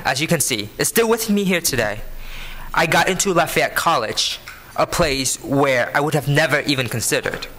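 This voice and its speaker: A man in his early twenties with a Vietnamese accent, giving an earnest prepared speech to an audience, phrasing deliberately. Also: mid-range voice, sharp tone. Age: early 20s. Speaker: scholarship program student speaker. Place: live benefit event before an audience.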